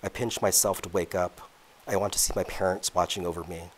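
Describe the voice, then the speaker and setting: A man reads from his own novel at a public event. His voice is very deep, and he takes the prose at a measured, deliberate pace. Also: steady tone. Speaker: author reading his novel. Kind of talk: reading aloud from a novel.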